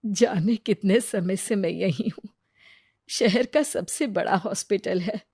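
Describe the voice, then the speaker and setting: A woman's voice: medium pitch, unhurried, warm tone, husky, no room sound, clean studio sound. A woman voicing an anxious, fretting female hospital patient in a cartoon story. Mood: worried.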